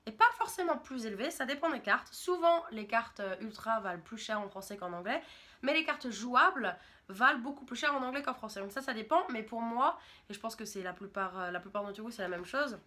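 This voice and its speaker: A young woman from France talks straight to her viewers in a loose, chatty, rambling way, clearly enthusiastic about her hobby. Her voice sits a little high and comes across bright and crisp. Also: animated, fairly quick. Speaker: YouTuber. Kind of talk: casual vlog monologue.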